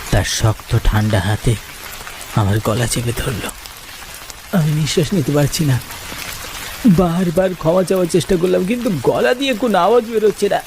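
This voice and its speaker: A man tells a first-person horror tale as a cartoon narrator. His voice is fairly high and moves up and down dramatically. Clear rain noise sits behind him. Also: expressive, a little fast, long pauses.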